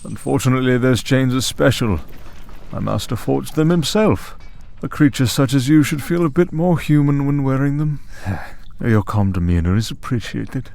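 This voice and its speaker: A man's voice: mid-range voice, moderate pace, creaky, a little mellow, lightly acted. A man playing an urbane, taunting vampire villain in an audio drama, speaking in a mocking, leisurely, theatrical way. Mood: mocking, composed. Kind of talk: scripted audio roleplay drama.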